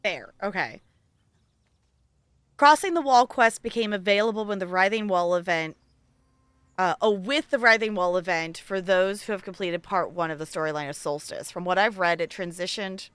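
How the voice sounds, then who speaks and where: A woman's voice: medium pitch, normal speed, fairly bright tone, clean tone, no echo. A woman chatting casually as she live streams a game, rambling in a playful, self-deprecating way. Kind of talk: casual gaming stream chat. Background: music.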